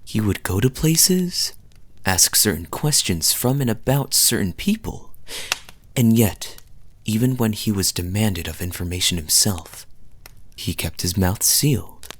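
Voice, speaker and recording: A man playing a charming, teasing young fantasy knight in an intimate audio roleplay, telling stories to the listener in a soft, breathy voice. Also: lowish voice, lively intonation. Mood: intimate, sly. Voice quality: soft, husky, breathy at times. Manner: smooth-talking, teasing, unhurried storyteller with dramatic pauses.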